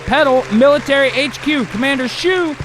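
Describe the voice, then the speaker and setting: A man's voice: extremely high pitch, moderate pace, fairly bright tone, a faint crowd, slight background noise, rough recording. A man co-hosting and narrating an improvised radio drama, with loose, casual, bro-ish banter. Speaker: narrator and co-host.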